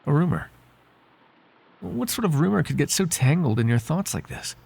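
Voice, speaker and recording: A man playing a devoted, flirtatious butler with a secret in an intimate ASMR roleplay, speaking softly in polite, formal phrases with halting hesitations. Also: on the high side, expressive, gravelly, breathy edge, exaggerated voice. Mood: uneasy curiosity. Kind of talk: ASMR roleplay voice acting, intimate one-sided dialogue.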